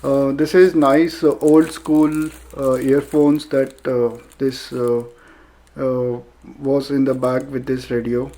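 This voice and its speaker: A man with an Indian accent narrating a casual unboxing review, speaking haltingly with frequent filler pauses. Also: medium pitch, level tone, mellow. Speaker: product reviewer.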